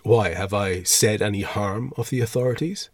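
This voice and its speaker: A man plays a sullen young teacher in a storybook, gruff and quick to anger. He talks low, curt and cold, with a slightly husky edge. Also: no room sound. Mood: angry, contemptuous. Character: sullen, gruff, hot-tempered young male teacher, bass.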